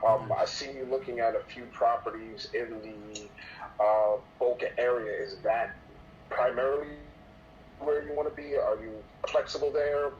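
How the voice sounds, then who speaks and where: A man's voice: mid-range voice, steady tone, moderate pace. Polite male agent, dull muffled recording. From New York. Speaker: real estate agent trainee. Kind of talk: role-play sales call. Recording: dull muffled sound with faint noise.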